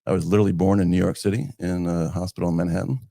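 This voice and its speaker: Gravelly low-voiced man telling his story. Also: fairly even intonation, rapid-fire, warm tone, dry recording, clean studio sound. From northern New Jersey, United States. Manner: rambling, with many fillers and restarts. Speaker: guest, community foundation director. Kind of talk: casual conversational storytelling.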